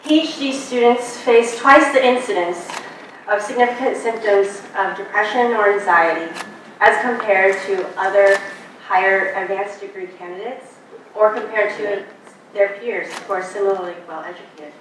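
A young woman giving a prepared commencement speech in a measured, earnest way, speaking at a podium in a large lecture hall. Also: medium pitch, fairly bright tone. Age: young adult. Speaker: graduate student commencement speaker. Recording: distant, very echoey hall, faint noise.

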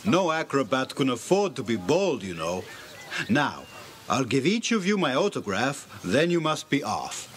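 A man's voice: mid-range voice, big pitch swings, unhurried, a little hiss or hum. An actor playing a friendly, good-humoured male circus acrobat in an audio drama.

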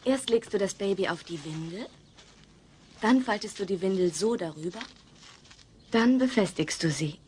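A patient young woman in a family comedy radio play, played by an actress. Her mid-pitched voice is practical and instructive, with slight noise behind it. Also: lively intonation, moderate pace, pauses often, dark tone, natural voice. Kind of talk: German dubbed comedy audio play.

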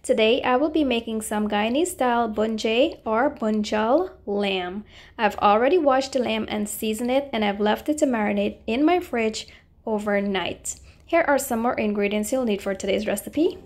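A woman's voice: a little high, moderate pace. A woman cooking host narrating a recipe voice-over in a friendly, polite way. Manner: friendly, polite, appreciative greetings to viewers. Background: background music.

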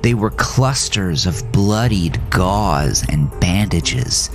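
A man with a low voice narrates a first-person horror tale. He is solemn and measured, taking his time over every phrase, with music clearly playing underneath. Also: animated.

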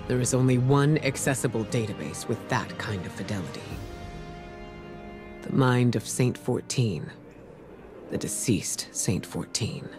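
An actress playing a composed middle-aged commander in a video game, measured and serious. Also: female voice, very deep voice. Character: grave, composed female commander confiding a risky plan. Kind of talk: video game story dialogue. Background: music.

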